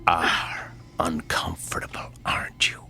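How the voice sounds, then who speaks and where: A man playing a sinister tormentor in a radio drama, menacingly polite, deliberate and taunting. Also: sharp tone. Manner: taunting, deliberate, drops into whispers. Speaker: actor. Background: music.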